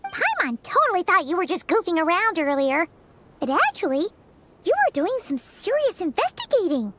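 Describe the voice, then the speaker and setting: A woman voicing a tiny, excitable, childlike fairy companion in a video game, with a squeaky voice. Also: moderate pace, exaggerated voice. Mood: impressed. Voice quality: very high, squeaky. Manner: refers to self by name.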